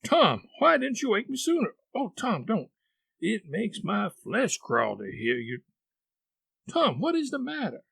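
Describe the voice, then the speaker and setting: A man's voice: high voice, huge pitch swings, measured pace, gravelly, fairly bright tone, slightly rough, no echo, voice pushed up, exaggerated voice. A man voicing an alarmed, pleading young boy, earnest and gullible, in an audiobook reading.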